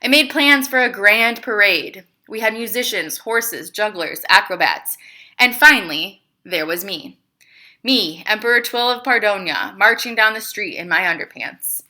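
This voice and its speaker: A woman playing a clever, self-justifying male emperor who tells his side of a children's storybook tale in an expressive, confiding way. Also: medium pitch, a little slow. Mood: scheming, dramatic. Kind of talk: children's storybook read-aloud.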